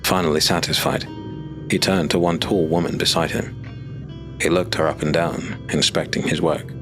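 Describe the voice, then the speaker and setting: A man narrating a dark fantasy audio drama in a measured, steady storytelling voice. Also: a bass voice. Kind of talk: scripted narration. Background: music.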